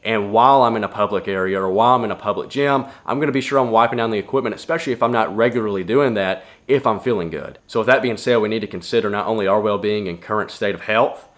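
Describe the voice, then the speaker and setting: A man's voice: lowish voice, fast talker, few pauses, fairly bright tone. A young man giving casual fitness advice to camera in a plainspoken, earnest way from his home gym. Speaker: fitness vlogger.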